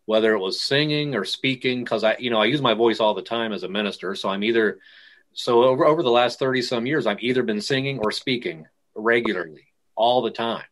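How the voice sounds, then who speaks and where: Middle-aged male pastor guest, loose and rambling, mid-pitched conversational voice. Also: a little fast, fairly bright tone, low-quality recording. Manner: rambling, many restarts. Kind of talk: casual interview talk.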